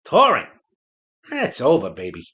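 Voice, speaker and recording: A disgruntled old-pro ventriloquist dummy in a comic audio play. His voice is sharp and forceful and leaps up and down as he gripes. Every complaint comes out sardonic and emphatic. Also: mid-range voice, wildly swooping pitch, unhurried, heavily acted. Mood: weary, wisecracking. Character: disgruntled, wisecracking wooden male ventriloquist dummy, aging showbiz veteran.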